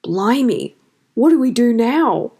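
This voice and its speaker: Blustering, exasperated male mayor of a small fantasy village, played by a woman narrator, gruff and groaning. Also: a little high, very animated, slow, mellow, heavily acted. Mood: flustered.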